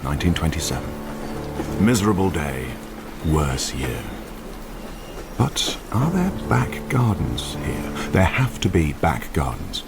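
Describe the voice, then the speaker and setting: A crime writer musing over his own plot in a radio drama. His voice is extremely low and gravelly, with a slow, brooding delivery full of questions to himself. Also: full character voice. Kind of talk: intimate radio drama monologue.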